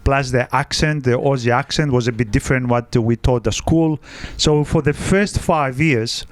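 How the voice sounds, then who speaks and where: A man's voice: medium pitch, no room sound. Hesitant man in his fifties, non-native. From Egypt. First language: Greek. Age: 50s. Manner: halting, frequent "uh" fillers, non-native grammar. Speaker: guest, wealth adviser. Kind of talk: podcast interview.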